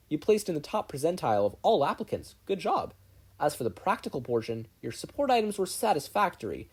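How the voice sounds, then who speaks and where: A performer voicing a brisk, dry adult male hero who works as a school examiner. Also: mid-range voice, darkish tone, husky, pitched above the performer's own voice.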